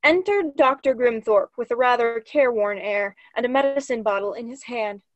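A woman's voice: fairly high pitch, moderate pace, no room sound, highs cut off. A young woman reading stage directions as scripted narration for a play. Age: young adult. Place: small room at home, video call.

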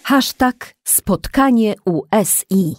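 A woman's voice: medium pitch, expressive, takes it slowly, a little mellow, faint music behind. A woman narrating a children's radio play in a scripted storytelling style. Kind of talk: scripted audio drama narration.

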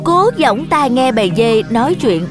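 A woman's voice: on the high side, big pitch swings, moderate pace. A woman reading scripted narration for a children's story. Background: music.

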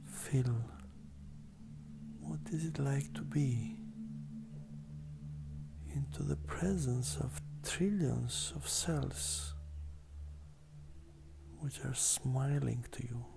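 A meditation guide speaking softly and breathily, often close to a whisper, in a gentle, unhurried manner with long pauses. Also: medium pitch, gravelly, background noise. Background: ambient meditative music.

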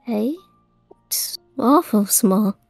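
A woman playing a timid, polite eight-year-old demigod boy, soft-spoken and hesitant, in a fantasy game roleplay. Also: on the high side, expressive, extremely slow, vocal fry, no echo, soft background music, heavily acted.

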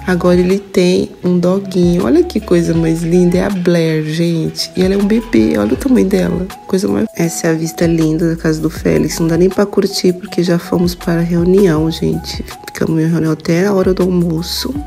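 Brazilian lady vlogger chatting casually, low slightly gravelly voice, confiding. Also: fairly even intonation, fairly quick, few pauses, dark tone, soft background music. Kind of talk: casual vlog narration.

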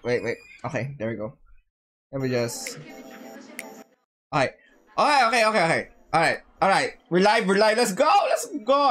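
A man with a high voice hosts a casual gaming livestream. He talks in an excitable, slangy manner and often doubles up words and phrases. Music plays softly behind him.

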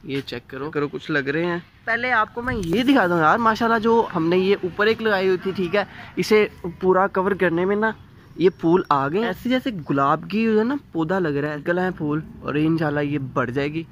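A man's voice: high-pitched, moderate pace, a bit bright, a little hiss or hum. Chatty young man vlogging on a phone. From Pakistan. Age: young adult. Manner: chatty, fills talk with "thik hai" and "yaar". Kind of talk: casual vlog chat. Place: home rooms, garden and rooftop. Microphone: phone camera held at arm's length.

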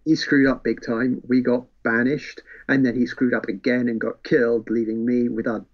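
Man, casual book talk, mid pitch, slightly muffled recording, slips into quoted dialogue. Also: level tone, normal speed. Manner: acts out characters' lines while explaining. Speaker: podcast co-host. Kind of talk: casual book chat, retelling plots.

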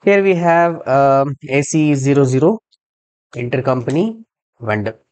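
A man giving a screen-share software tutorial as a trainer, explaining step by step and spelling out codes. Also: medium pitch, lively intonation, a little slow.

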